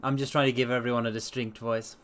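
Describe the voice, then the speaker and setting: A man's voice: mid-range voice, little pitch movement, quick pace, fairly bright tone. A man streaming a dramatic reading, narrating a detective story and chatting casually with his audience.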